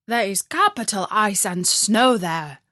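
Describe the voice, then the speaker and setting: Casting: woman voicing a stately, wistful reindeer for a children's tale, proud, slow, close dry sound. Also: medium pitch, expressive, pushed and bright, no echo. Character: proud old-friend reindeer, wistful for snowy homeland.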